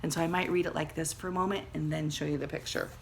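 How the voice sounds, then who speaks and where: A woman teacher reading a children's story aloud with dramatic emphasis, pausing to speak directly to her pupils. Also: low voice, steady tone, vocal fry, breathy edge. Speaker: second grade teacher. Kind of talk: expressive storybook read-aloud with teaching asides.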